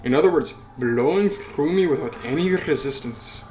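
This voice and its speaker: A man's voice: fairly high pitch, lively intonation, normal speed, a little mellow, a little hiss or hum, poor audio. A man who hosts a let's play reads a visual novel aloud and adds casual asides with swearing.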